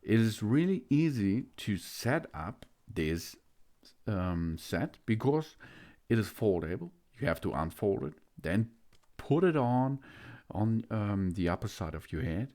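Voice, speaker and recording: A man giving an unboxing walkthrough of a gadget, hesitant, with many filler pauses. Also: fairly low pitch, expressive, a little mellow. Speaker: product reviewer.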